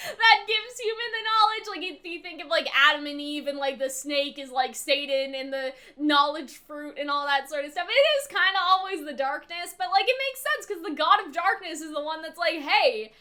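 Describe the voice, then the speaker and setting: A woman's voice: sits high, moderate pace, hardly any pauses, sharp tone. A woman hosting a casual fan discussion, talking informally and animatedly and often checking that the listener agrees. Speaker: online video host analysing animated series lore.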